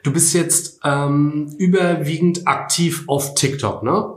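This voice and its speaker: A man's voice: a little high, fairly even intonation, slow pace. A man hosting a podcast, introducing a guest and asking questions with short upbeat affirmations. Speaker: podcast host. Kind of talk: podcast interview.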